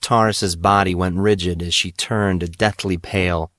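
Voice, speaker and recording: A man with a low voice narrates a piece of fiction. His storytelling is measured and dramatic, clearly read from a script. The recording is dry, with no room sound. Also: steady tone, fairly bright tone, no room sound.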